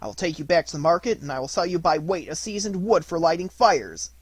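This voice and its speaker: A man's voice: fairly high pitch, lively intonation, fast talker, slightly rough, dry recording. A man voicing an indignant, blustering buyer who feels cheated, in a storybook reading. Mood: angry. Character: gruff, indignant man cheated out of his money.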